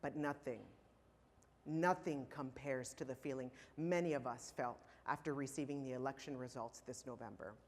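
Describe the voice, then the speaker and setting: A man in his thirties from Los Angeles, giving an impassioned prepared keynote speech to a conference audience with emphatic, pointed pauses. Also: medium pitch, steady tone. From Los Angeles, California. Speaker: keynote speaker. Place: large conference hall. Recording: reverberant hall.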